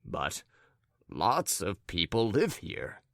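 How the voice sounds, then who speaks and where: Man playing a curt, low-voiced impatient young man. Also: huge pitch swings, slow pace, a bit bright, no room sound, studio quality, heavily acted. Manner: terse, brusque, grudgingly polite.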